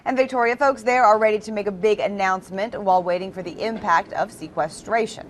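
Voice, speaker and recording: A woman's voice: mid-range voice, a little fast. A woman anchoring television news, reading scripted introductions and handing off to a live reporter. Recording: faint background noise.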